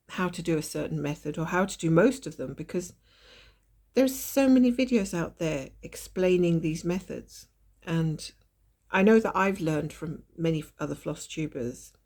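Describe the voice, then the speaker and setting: A woman chatting casually as she stitches at her desk, her voice a little nasal and hoarse from a lingering cold, with soft laughs and apologetic asides. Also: on the low side. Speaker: cross-stitch vlogger. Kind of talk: casual stitch-along chat. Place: at a desk indoors.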